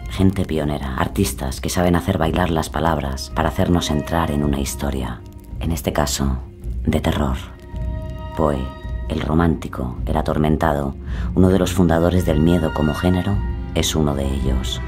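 Casting: male storyteller with an exceptionally low voice, suspenseful scripted narration, prominent music underneath. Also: steady tone, normal speed.

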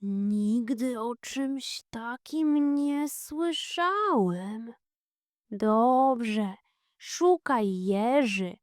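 A woman voicing a modest, tidy, good-natured male badger neighbour in a children's audio fairy tale, unhurried and plain-spoken. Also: a little high, lively intonation, no room sound, studio quality.

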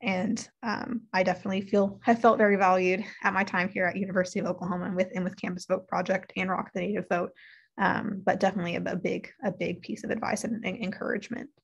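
A woman's voice: mid-range voice, level tone, quick pace, creaky, breathy edge, muffled recording. A young woman, a student, speaking earnestly on a video call panel. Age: young adult. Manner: earnest, many fillers and restarts. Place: indoor room on video call.

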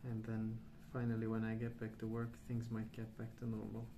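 A man speaking softly and hushed in an unhurried, halting ASMR ramble, often trailing off. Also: on the low side, completely flat delivery, dull audio. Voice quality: soft, hushed. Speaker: ASMR video host. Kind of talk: soft-spoken ASMR rambling. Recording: clear background noise.

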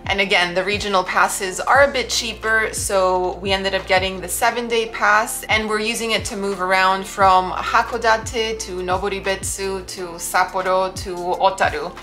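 A woman's voice: medium pitch, fairly even intonation, normal speed, few pauses, bright, forceful tone, faint music behind. A young woman sitting in a hotel room, chatting to the camera as she explains how they travel. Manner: chatty, informative, friendly. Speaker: travel vlogger. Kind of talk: explanatory vlog talk.

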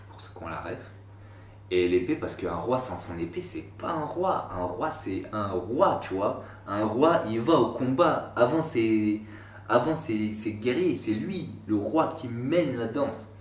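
Young man, casual vlogger, slangy and rambling; lower voice, roomy sound, mic set back. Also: slight background noise. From France. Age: 20s. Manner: slangy, rambling, self-mocking asides. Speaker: YouTuber. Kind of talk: casual vlog talk to camera. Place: small room indoors. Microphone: camera a few feet away. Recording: roomy, slightly distant sound.

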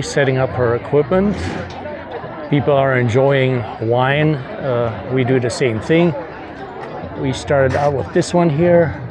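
A middle-aged man casually narrating a travel vlog, relaxed and unhurried, with many fillers, from a truck and an outdoor winery patio. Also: mid-range voice. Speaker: vlogger. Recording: loud music and crowd behind. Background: live music and crowd chatter at the winery.

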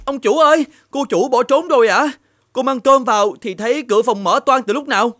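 An actor playing an anxious, deferential servant in a cartoon folk tale, speaking in alarm. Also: male voice, very high-pitched, fairly quick, a bit bright, soft background music.

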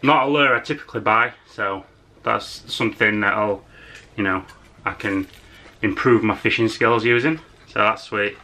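A man's voice: on the low side, normal speed, sharp tone. A young man in his twenties chatting casually and enthusiastically while unboxing fishing lures at home, talking straight to a camera on his desk. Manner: easygoing, enthusiastic, chatty with filler words. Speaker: fishing vlogger. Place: small room indoors at home.

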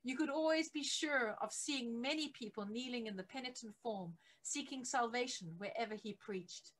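A middle-aged woman reading a biography aloud as scripted narration, measured and with emphasis on key phrases. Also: fairly high pitch, fairly bright tone. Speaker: reader of a church history book. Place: attic home office, indoors.

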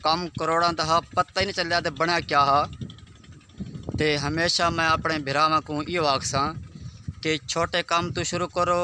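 A man's voice: a little high, sharp tone. A man in his thirties with a Saraiki accent, talking earnestly to his phone camera outdoors on a farm and giving advice to his friends. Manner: earnest, advising friends, repeats phrases. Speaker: vlogger. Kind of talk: casual vlog to camera. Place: outdoors on a farm beside palm trees. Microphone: phone held at arm's length. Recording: outdoor phone audio with background noise.

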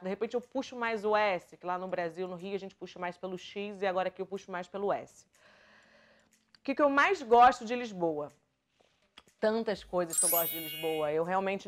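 A Brazilian woman in her thirties with a Carioca accent mixed with European Portuguese touches, chatting casually to the camera from her sofa as a vlogger, lively and gesturing as she talks. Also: mid-range voice. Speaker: vlogger answering viewer questions. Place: bright living room, seated on a sofa.

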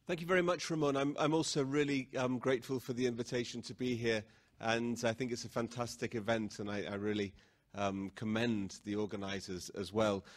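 A man's voice: mid-range voice, fairly even intonation, fairly quick. A man with a mid-range voice argues his side as a panelist, mixing firm points with wry humor. He speaks into a table microphone in a lecture hall. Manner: wry, argumentative, with jokes. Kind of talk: panel discussion talk.

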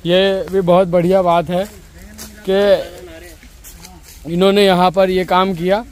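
High-voiced young man vlogging outdoors. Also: level tone, slow pace. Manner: upbeat, chatty, addresses viewers directly. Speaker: vlogger interviewing scout trainees. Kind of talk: walking vlog narration and interviews. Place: outdoors in rural fields and woods. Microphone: phone camera held at arm's length.

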